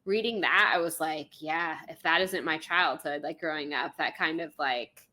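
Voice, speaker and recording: A young woman from Florida, co-hosting a casual book podcast. She talks quickly and chattily, full of likes and ums, in a slightly low but bright, crisp voice. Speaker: podcast co-host. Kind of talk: casual chat.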